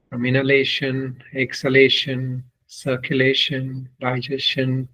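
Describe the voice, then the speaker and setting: A man leading a guided meditation as a spiritual teacher, speaking in short, deliberate phrases with long pauses. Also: mid-range voice, bright, forceful tone, poor audio. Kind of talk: guided meditation, spoken instruction.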